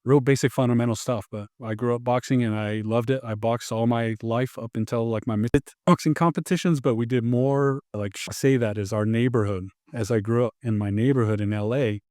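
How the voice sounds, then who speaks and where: A man's voice: medium pitch, brisk, hardly any pauses, dry recording, studio quality. Rambling middle-aged man telling stories. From born in Tonga, raised in Los Angeles. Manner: rambling, animated, frequent restarts and fillers. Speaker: martial arts instructor, podcast guest. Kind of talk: casual interview storytelling.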